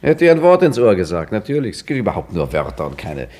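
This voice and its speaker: Old storyteller caretaker, very low voice, dry, measured, wry, 1950s radio drama. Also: male voice, huge pitch swings, a little mellow. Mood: wry teasing. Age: older. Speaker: caretaker telling a tale.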